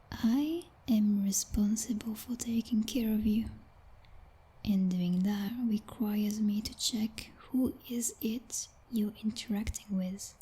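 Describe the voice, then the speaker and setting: Slow, whispering possessive vampire girlfriend, acted by a woman. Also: medium pitch, dark tone. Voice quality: breathy, whispered. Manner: slow, teasing, condescending, commanding. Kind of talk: ASMR roleplay.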